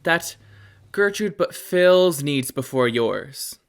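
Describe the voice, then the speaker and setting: A young student playing a smooth, nosy talk-show host doctor in a radio play. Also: medium pitch, expressive, takes it slowly. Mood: composed, probing. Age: teenager or young adult student.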